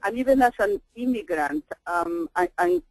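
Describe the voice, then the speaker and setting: A woman's voice: mid-range voice, big pitch swings, moderate pace, creaky, darkish tone, no echo, poor audio. A woman from El Salvador with a Spanish accent, presenting a webinar as a trainer in an earnest, emphatic way.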